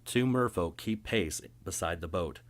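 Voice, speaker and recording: A man narrates a fantasy tale in the first person, as a story reader. His voice sits low, and the delivery is scripted audiobook prose. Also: unhurried.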